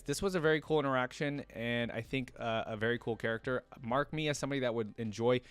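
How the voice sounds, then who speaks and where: A man's voice: lowish voice, clean tone, no room sound. A man hosting a reaction video, talking in a casual, enthusiastic and rambling way.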